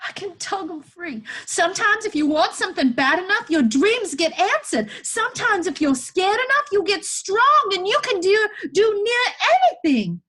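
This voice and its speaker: A woman's voice: very high-pitched, very animated, normal speed, hardly any pauses, fairly bright tone, voice pushed up, heavily acted. A woman voicing a frightened, stubborn eleven-year-old boy in a storybook, speaking an old-time rural dialect with earnest insistence. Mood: desperate, insistent.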